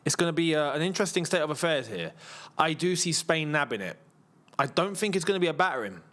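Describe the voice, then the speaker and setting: A man's voice: a little high, animated, fast, fairly bright tone. A tired man, chatting casually as the host of a football watchalong, rambling, laughing often and reading out viewer messages. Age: 30s. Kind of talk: casual live watchalong chat.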